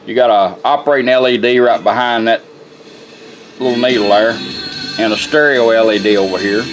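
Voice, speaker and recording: A man's voice: mid-range voice, steady tone, a little fast, faint music behind. A plainspoken, folksy man giving a casual product demonstration as a radio restorer. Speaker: radio restorer presenting his work.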